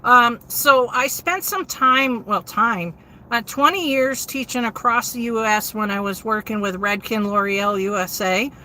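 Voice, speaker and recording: Bright-voiced New woman streaming. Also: unhurried, slight background noise, low-quality recording. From New England. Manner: steady, low-inflection delivery with frequent ums. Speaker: livestream host. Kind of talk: casual livestream chat, reading viewer comments.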